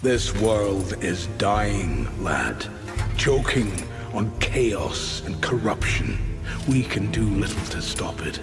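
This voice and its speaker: An ancient, cryptic maker, grave and slow in delivery. His lines ring with a long reverb over clear game music. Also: lowish voice, animated.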